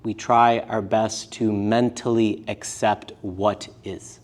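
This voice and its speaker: Unhurried man guiding a yoga class. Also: fairly low pitch, level tone. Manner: unhurried, gentle phrasing in short pieces with pauses. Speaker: yoga and meditation teacher. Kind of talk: guided meditation class instruction. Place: live class in a yoga studio.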